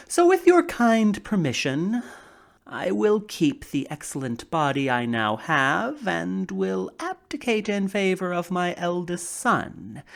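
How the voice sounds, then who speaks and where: A woman's voice: lowish voice, vocal fry, voice pushed down, exaggerated voice. A woman voicing a jolly, dignified scarecrow emperor. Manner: slow, warm, jolly speechmaking. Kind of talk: dramatic audiobook reading.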